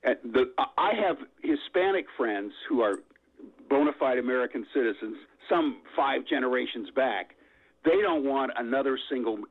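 An older man calling in to a radio talk show, blunt and opinionated, with halting restarts. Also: mid-range voice. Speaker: caller. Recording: tinny phone line.

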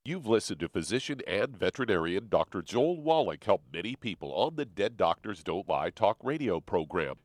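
A man reading scripted radio announcements and ad copy in a smooth, emphatic announcer manner. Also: on the low side, sing-song, moderate pace, hardly any pauses. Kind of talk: scripted ad read.

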